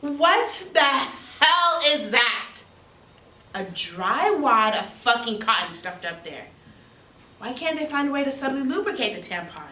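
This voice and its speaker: Outspoken, indignant woman played by an actress, slow delivery with big swings, echoey stage. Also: high-pitched, sing-song, pushed and bright, full character voice. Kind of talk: theatrical stage monologue. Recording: echoey stage, distant mic, faint noise.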